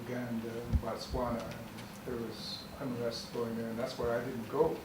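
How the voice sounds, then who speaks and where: A man's voice: medium pitch, little pitch movement, moderate pace. A man in the audience asking an earnest, rambling question. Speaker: audience member. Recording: echoey hall, distant mic, background noise.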